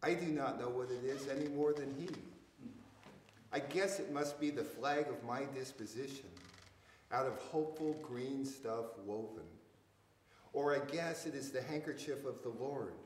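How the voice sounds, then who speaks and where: Older male poetry reader, unhurried and measured, recorded in a reverberant hall. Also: mid-range voice, slight background noise. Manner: deliberate, measured phrasing. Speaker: poet reading at a public reading. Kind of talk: poetry recitation. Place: indoors in a church hall.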